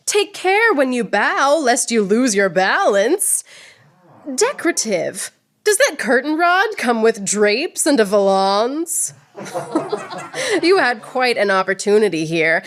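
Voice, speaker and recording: A proud, witty swordswoman poet of radio theatre, played by a woman. Her high voice swings widely in pitch and takes its time, savouring each mocking turn of verse with a theatrical swagger. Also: heavily acted. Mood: mocking, playful. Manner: declamatory, mocking, precise wordplay.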